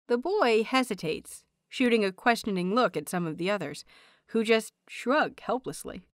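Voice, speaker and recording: Audiobook narrator, woman, medium pitch, expressive and measured with story prose, very dry sound. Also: no room sound. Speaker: audiobook narrator of fan fiction.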